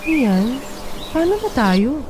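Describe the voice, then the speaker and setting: An actress voicing a clingy, eager young woman teacher in a radio drama, pleading and talking fast. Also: high-pitched, sing-song, background noise, heavily acted. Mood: anxious. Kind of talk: Tagalog radio drama acting. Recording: loud music under the voice.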